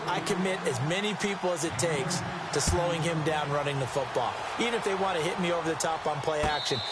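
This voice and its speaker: A man playing a confident, conversational color commentator in an American football video game, with an American accent and an emphatic way of explaining, over loud noise. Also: sits high, natural voice.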